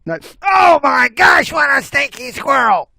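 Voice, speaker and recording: A man voicing a big, hungry bobcat in a children's story, with a drawn-out, menacing delivery. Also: medium pitch, expressive, no echo, pitched below the performer's own voice. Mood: loud disgust.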